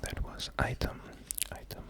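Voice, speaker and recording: A man doing a slow, soft-spoken ASMR poetry reading, gentle and calming, half-whispered with a breathy, creaky voice. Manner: gentle, unhurried, calming, often repeating words. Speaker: ASMR host.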